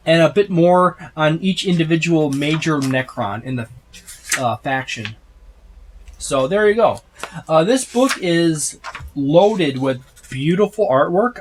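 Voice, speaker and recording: A man in his thirties walks through a tabletop rulebook in a casual, rambling way, full of fillers and false starts. His voice sits a little high for a man. He talks close to the microphone in a room packed with game shelves. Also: background noise. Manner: rambling, many fillers and restarts. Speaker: tabletop gaming video host. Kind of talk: casual book overview. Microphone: special microphone, close.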